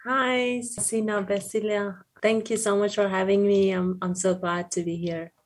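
A woman's voice: mid-range voice, level tone, measured pace. A woman from Afghanistan, a native Persian speaker with an Afghan accent, giving reflective interview answers as a poet, often searching for her words.

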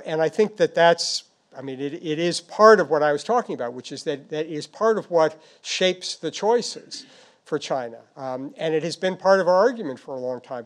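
A man answering questions as a foreign policy expert, speaking off the cuff in a halting, thoughtful, hedging way. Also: on the high side. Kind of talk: unscripted answers at a policy discussion.

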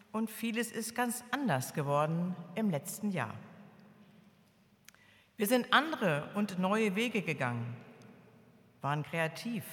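A woman pastor speaks slowly and deliberately in an earnest, measured tone. Her pitch is middling. Her voice trails off into a long reverb. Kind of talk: sermon.

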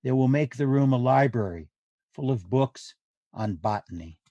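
A man giving a literary reading of diary entries in measured, deliberate phrases. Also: medium pitch, expressive, mellow, no room sound, dull audio.

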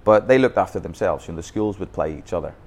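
A man's voice: low-pitched, animated, fast, a little mellow. A man with a Northern Irish accent telling his coaching story in a podcast interview, candid, with frequent restarts. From Northern Ireland. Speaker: youth football academy founder. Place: Bangkok.

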